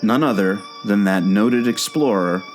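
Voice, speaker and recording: A man's voice: lowish voice, measured pace, soft background music, lightly acted. A man playing an eager, knowing explorer guide in a scripted audio drama, talking like an earnest storyteller. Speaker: co-host of a theme park podcast.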